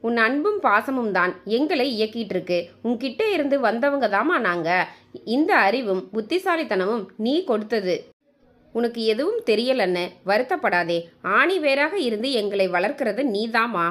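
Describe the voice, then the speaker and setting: A woman's voice: a little high, lively intonation, moderate pace, a bit bright. A woman voicing a teasing but devoted young adult son, earnest and coaxing, in a narrated family story. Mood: earnest, reassuring.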